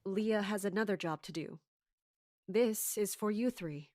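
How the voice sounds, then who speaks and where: A woman playing a scheming, authoritative commander in an audio drama, speaking in a measured way. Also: mid-range voice, darkish tone, no room sound, clean studio sound, lightly acted. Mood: cool, commanding. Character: scheming, commanding woman in charge of palace guards. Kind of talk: audio drama acting with first-person narration.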